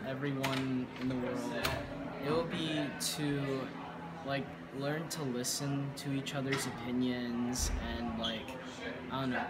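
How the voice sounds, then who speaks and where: A man's voice: medium pitch, very noisy. Hesitant young New man. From United States. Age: young adult. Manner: hesitant, lots of "like". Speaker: interviewee. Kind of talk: casual speech to camera.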